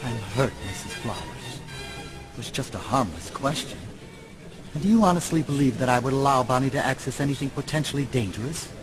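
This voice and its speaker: An actor playing a stuffy occult enthusiast in a video game, with a dry, wordy, formal manner. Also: male voice, medium pitch, expressive, fairly quick, natural voice, low-quality recording. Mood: mock hurt. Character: dry, stuffy man obsessed with the supernatural. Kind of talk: video game dialogue acting. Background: music.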